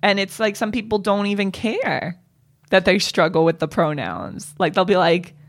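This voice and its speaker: A woman co-hosting a comedy podcast, chatting casually with a somewhat low voice and rambling through many fillers and restarts. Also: animated, normal speed. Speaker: podcast co-host.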